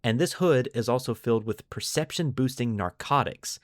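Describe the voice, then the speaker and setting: A man's voice: mid-range voice, animated, moderate pace, dry recording, studio quality. A man hosting a podcast, explaining lore to friends in an informal, enthusiastic way. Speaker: podcast host.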